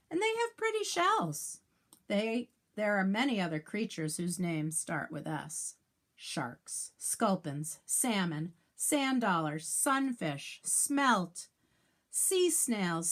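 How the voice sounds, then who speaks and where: Female teacher for children's read-aloud: unhurried, lively intonation, careful enunciation, chatty asides to little listeners. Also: mid-range voice. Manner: sing-song, enunciating letters for young children; adds asides and questions to young listeners. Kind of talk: read-aloud of a children's alphabet picture book.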